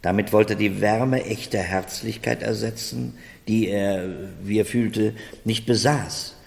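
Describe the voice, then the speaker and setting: Touchy, vain gentleman, reverberant room. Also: fairly low pitch, fairly even intonation, unhurried, vocal fry. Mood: reflective. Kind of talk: 1970s German radio play acting. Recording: reverberant room, vintage radio drama.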